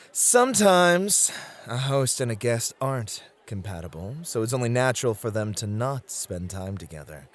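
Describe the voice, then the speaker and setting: A man acting as a charming, flirtatious club host in an ASMR roleplay. His mid-pitched voice swings widely and animatedly as he teases with gallant, effusive courtesy. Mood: flirty, coaxing.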